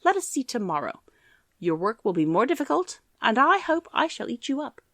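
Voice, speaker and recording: A wicked old witch booms out threats in a raised, strained voice, as a woman performs her. She gloats and menaces like a storybook villain. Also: very animated, normal speed, no echo, studio quality, full character voice. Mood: gloating. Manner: loud exclaiming, menacing.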